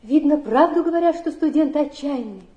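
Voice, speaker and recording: Stage role: actress as a protective, brusque flophouse woman, very high voice, echoey recording. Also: moderate pace, mellow, lo-fi sound, natural voice. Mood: indignant, protective. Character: protective, blunt woman comforting a friend, snapping at mockers. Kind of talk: theatrical stage acting.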